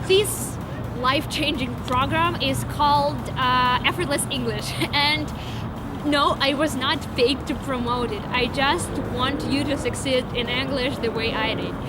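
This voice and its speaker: A woman's voice: high voice, background noise. A young woman with a Ukrainian accent and Ukrainian as her first language, talking eagerly and emphatically to camera in a vlog, with some hesitations. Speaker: vlogger. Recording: background music under voice.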